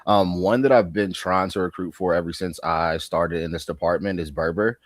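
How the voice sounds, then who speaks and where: Very deep-voiced male recruiter. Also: steady tone, normal speed, no echo, studio quality. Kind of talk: answering interview questions.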